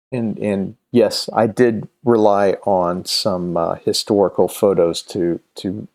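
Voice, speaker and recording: A man presenting casually and unscripted as a comics video host, halting and often searching for his words. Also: on the low side, warm tone. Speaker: comics video host and creator promoting his own campaign.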